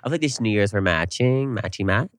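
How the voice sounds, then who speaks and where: Sassy young guy in his 20s, low voice, dry close mic, keeps singing his lines. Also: lively intonation, fast talker, dry recording. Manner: playful, sassy, breaks into sing-song. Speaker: podcast co-host. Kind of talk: casual banter.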